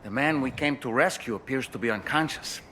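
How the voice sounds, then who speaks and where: A man's voice: mid-range voice, fairly quick, bright, forceful tone, natural voice. An actor playing an instructive male mission guide giving scripted directions in a video game. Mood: urgent, directive. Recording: clear background noise.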